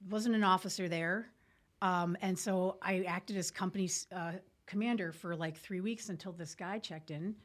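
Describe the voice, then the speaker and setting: Lower-voiced woman telling stories informally. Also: fairly even intonation, moderate pace. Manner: candid, colloquial, military jargon. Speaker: podcast guest, military veteran. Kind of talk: informal storytelling in conversation.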